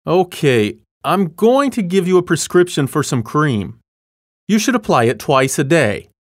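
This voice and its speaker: A man reading scripted lesson dialogue and practice phrases in a plain, measured way. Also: fairly high pitch, very animated, dry recording, studio quality. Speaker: language lesson voice actor.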